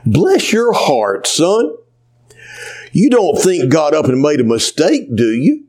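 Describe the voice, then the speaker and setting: A man's voice: fairly high pitch, wildly swooping pitch, slow, exaggerated voice. A man doing a cheerful old lady. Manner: warm, gently scolding, folksy. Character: cheerful devout elderly Southern woman, dying patient.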